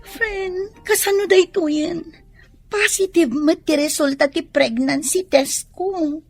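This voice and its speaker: A woman's voice: extremely high pitch, unhurried, soft background music. An actress playing a worried, naive young pregnant student in a radio drama, pleading as she talks. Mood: anxious. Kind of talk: Ilocano radio drama acting.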